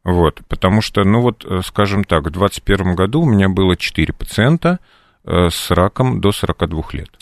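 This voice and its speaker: A man in his forties, a doctor answering interview questions in a measured, hesitant way full of pauses. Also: deep voice, expressive, gravelly, no room sound, clean studio sound. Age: 40s. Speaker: doctor guest.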